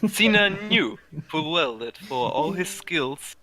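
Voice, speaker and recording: A man narrating amateur fan fiction, halting and stumbling over words as he reads aloud. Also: a little high, expressive, a crowd in the background.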